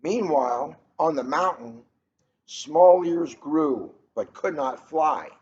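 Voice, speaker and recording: A man's voice: fairly high pitch, animated, slow pace, a little mellow. A middle-aged man, a police officer, reading a storybook aloud and chatting casually between passages. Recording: muffled, noisy ambient room recording.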